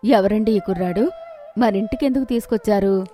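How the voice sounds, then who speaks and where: A woman's voice: mid-range voice, a little fast, dark tone, soft background music, natural voice. A woman voicing a caring, motherly village wife with a homely, solicitous manner in a storybook tale. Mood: questioning.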